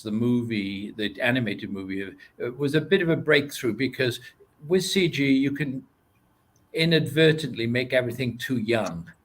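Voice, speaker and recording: A man with a Welsh accent, guesting on an interview show as an animation producer. He speaks at a middling pitch and hesitates often, filling pauses with uh and um. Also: a bit bright. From Wales. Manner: hesitant, lots of uh and um.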